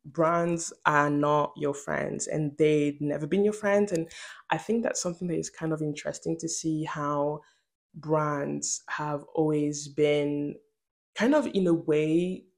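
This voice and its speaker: A woman's voice: sits low, steady tone, a little slow. A young woman talking casually and emphatically to camera in an informal, slangy video essay style, recorded in a room at home. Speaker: video essayist and channel host.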